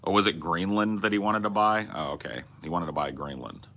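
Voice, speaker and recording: A man with a deep, bright and forceful voice chats loosely on a livestream. He rambles and swears as he answers viewers, stumbling and restarting mid-thought, and talks. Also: a little fast. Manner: rambling, profane, restarts and self-corrections. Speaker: streamer host. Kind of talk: casual livestream chat reading viewer messages.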